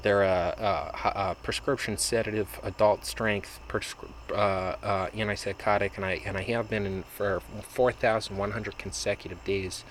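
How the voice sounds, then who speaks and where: A man vlogging in a meandering, halting monologue, often restarting and repeating words. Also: on the low side, steady tone, a little hiss or hum. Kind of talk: rambling monologue to camera.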